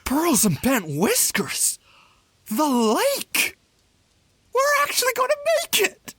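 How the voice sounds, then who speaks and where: A woman voicing a small, hopeful young marten, earnest and expressive, in a dramatic comic dub. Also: sits high, slow pace, no echo, exaggerated voice. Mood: relieved, excited. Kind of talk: dramatic comic fandub acting.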